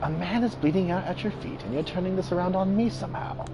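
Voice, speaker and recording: A cold, menacing woman with a raspy, androgynous voice, put on by a man reading a story game aloud. Her tone is hateful and patronizing, pushed well above the reader's own pitch. A steady hiss of noise sits under the recording. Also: fast talker. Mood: mocking.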